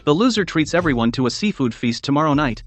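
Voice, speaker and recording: An actor playing an arrogant, scornful executive in a dubbed melodrama, brash and sneering. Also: male voice, a little high, expressive, quick pace, faint music behind, natural voice. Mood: smug.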